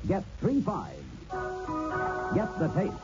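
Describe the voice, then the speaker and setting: A man's voice: high-pitched, huge pitch swings, takes it slowly. A man announcing a 1960s radio commercial with emphatic, slogan-like salesmanship. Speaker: advertising announcer. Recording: muffled old radio recording, loud music.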